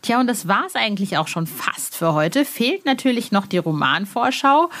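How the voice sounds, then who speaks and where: A woman hosting a podcast in a casual, chummy, informal way, with a slightly husky voice. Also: mid-range voice. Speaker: podcast host. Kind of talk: casual chat and podcast presenting.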